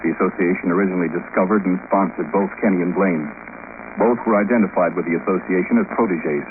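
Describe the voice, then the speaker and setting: A man's voice: low-pitched, steady tone, quick pace, close to an everyday voice. An actor playing an anxious, officious public relations man in earnest 1950s radio drama style. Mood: defensive, worried. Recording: scratchy, noisy, narrow-band 1950s radio recording.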